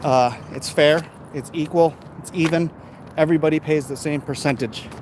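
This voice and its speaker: American man, slightly high voice, casual opinion rant, colloquial, emphatic, outdoor recording with faint traffic noise. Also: fairly even intonation, moderate pace. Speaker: live-stream vlogger reading and answering viewer comments. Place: outdoors, in his backyard. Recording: noisy outdoor urban ambience, traffic sounds.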